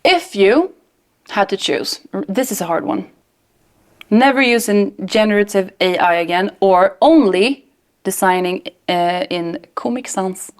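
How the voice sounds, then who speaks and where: A woman's voice: mid-range voice, a little slow. Woman interviewer, jokey and teasing, keeps it light and casual. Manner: teasing, playful.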